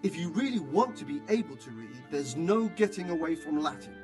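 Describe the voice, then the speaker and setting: Scholarly game mentor, encouraging and theatrical, high-set voice, very animated melody. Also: male voice, a little fast, over music. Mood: encouraging, instructive. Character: learned, encouraging male scribe tutoring a young man. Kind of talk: medieval video game cutscene acting.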